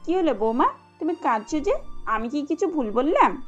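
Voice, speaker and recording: Woman playing a high-voiced, kindly mother-in-law. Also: expressive, normal speed, muffled recording, faint music behind. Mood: reassuring. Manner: motherly, gently insistent. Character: kindly, caring middle-aged mother-in-law, protective and reassuring.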